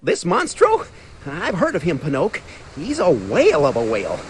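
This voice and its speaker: Wildly animated, fretful cartoon cricket. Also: male voice, a little high, moderate pace, husky, heavily acted. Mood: fretful, warning. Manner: folksy, excitable, stammering. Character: small, fretful, loyal old-fashioned cartoon cricket. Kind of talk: animated video game voice acting. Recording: music behind the voice.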